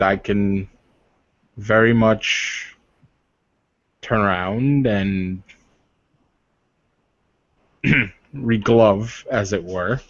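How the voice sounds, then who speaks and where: A man playing a stoic, formal warrior with a measured, deadpan delivery and a wryly mocking streak in a tabletop roleplay. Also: lowish voice, no room sound, highs cut off, exaggerated voice.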